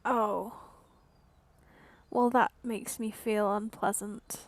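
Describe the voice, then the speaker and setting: A woman's voice: mid-range voice, fairly even intonation, slow pace, dry recording. A woman giving casual commentary as she plays through a video game. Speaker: game streamer.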